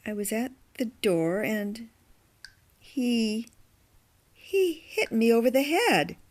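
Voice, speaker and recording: A woman playing a frightened but defiant captive in a horror radio drama, halting and emotional. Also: on the high side, very animated, exaggerated voice. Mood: groggy, frightened. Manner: halting, gasping, swearing.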